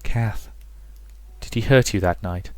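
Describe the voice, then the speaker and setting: A man's voice: fairly low pitch, unhurried, no echo, natural voice. A man voicing a timid, worried young woman who comforts her friend in a visual novel. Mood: gentle concern.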